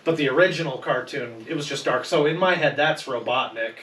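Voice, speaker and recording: A man's voice: mid-range voice, brisk, fairly bright tone. A man on a podcast panel talking casually about films, enthusiastic and full of opinions. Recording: roomy echo, distant mic, faint noise.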